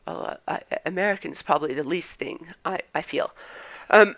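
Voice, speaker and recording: A woman whose first language is Norwegian talks about her upbringing in a halting, reflective way. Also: low-pitched, fairly bright tone, no echo. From Norwegian parents, born in the US, raised between the US and Norway. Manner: halting, many fillers and restarts, reflective. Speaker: vlogger. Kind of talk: casual personal monologue.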